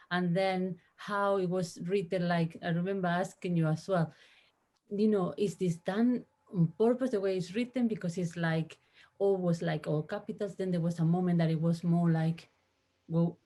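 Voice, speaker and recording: A woman from Spain with a Spanish accent, a native Spanish speaker, explaining her work in an informal panel discussion, often pausing to search for words. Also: on the low side, warm tone. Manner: halting, searching for words, frequent "you know". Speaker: translator.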